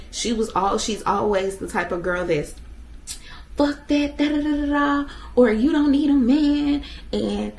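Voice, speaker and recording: A woman in her twenties vlogs to her viewers, emphatic and upbeat. Her voice has a gravelly, fry-touched edge. A faint hum of background noise sits under the recording. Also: medium pitch. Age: 20s. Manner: emphatic, animated, talks straight to viewers. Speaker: vlogger. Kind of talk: casual motivational vlog.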